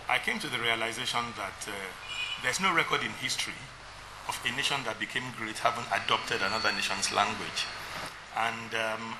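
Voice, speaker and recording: A man interviewed in a TV studio, speaking in a measured, thoughtful way with ums. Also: lowish voice. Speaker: guest, language technology CEO. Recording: noisy studio.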